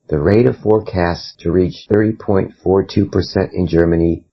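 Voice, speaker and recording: A man with an American accent reads a scripted test-prep essay. His voice sits low and has a raspy, husky texture. The sound is a little dull and lo-fi. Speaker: English test-prep reader. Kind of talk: scripted read-aloud of sample essay.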